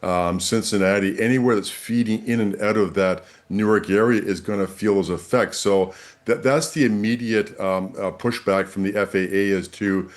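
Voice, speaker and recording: Guy with a lowish voice, expert being interviewed on the news, explains stuff and stresses his points. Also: steady tone, normal speed, hardly any pauses. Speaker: aviation expert guest.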